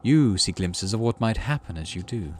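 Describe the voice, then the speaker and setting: A man voicing a calm, teasing, cryptic alien forest guide in a visual novel game, with a wry, deliberate manner. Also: lowish voice, slight background noise, close to an everyday voice. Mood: gently cryptic.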